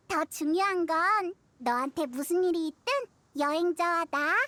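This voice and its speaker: A woman's voice: extremely high pitch, slow pace, bright, forceful tone, no echo, exaggerated voice. A woman voicing a tiny, childlike fairy companion in an anime game, inquisitive and full of exclamations. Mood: earnest, encouraging. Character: tiny cheerful floating fairy girl companion.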